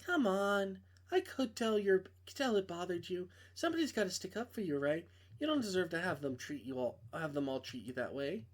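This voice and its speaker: Guy playing a protective girl friend from a visual novel, higher pitch, steady, casual and reassuring. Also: moderate pace.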